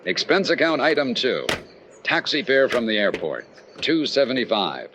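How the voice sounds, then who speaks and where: An actor playing a brisk, confident, wry male insurance investigator in an old-time radio detective drama. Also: mid-range voice, sing-song, background noise. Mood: wry, easygoing.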